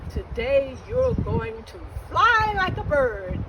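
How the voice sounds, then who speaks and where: A woman voicing a kindly, helpful bird who coaxes with drawn-out words in a children's story. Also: sits high, sing-song, heavy background noise, full character voice. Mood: coaxing. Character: kindly, helpful birds coaxing a little turtle.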